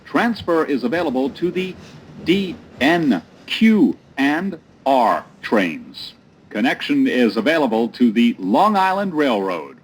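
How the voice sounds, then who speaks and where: A man gives formal, instructive transit announcements with a strongly varied, lively intonation at a medium pitch. He is heard over car speakers, with clear train noise around him. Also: slow pace. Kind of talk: scripted automated transit announcements. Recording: noisy recording inside subway train.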